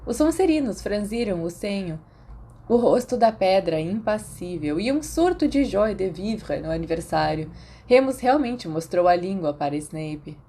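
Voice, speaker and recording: A woman's voice: mid-range voice, sing-song, unhurried, mellow, slightly rough. A woman with a Brazilian accent reading scripted fiction as an audiobook narrator.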